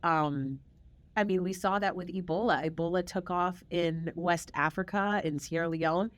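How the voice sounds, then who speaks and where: A middle-aged woman with a notably low voice hosts a conversation, sharing family history in a reflective, storytelling way. She stresses her points by repeating words. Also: moderate pace. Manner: reflective storytelling, warm emphatic repetition. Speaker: podcast host. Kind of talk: casual conversational interview.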